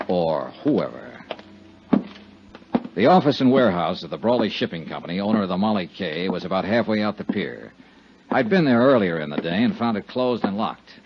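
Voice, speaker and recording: A man's voice: low voice, background noise. An American man playing a tough, wisecracking insurance investigator who narrates his case in a wry, clipped 1950s radio detective style. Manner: wry, clipped, hard-boiled. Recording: dull 1950s radio recording with music.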